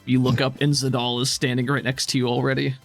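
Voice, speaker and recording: Male game master for a tabletop role-playing show, narrating scenes over soft music. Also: mid-range voice, completely flat delivery, fast.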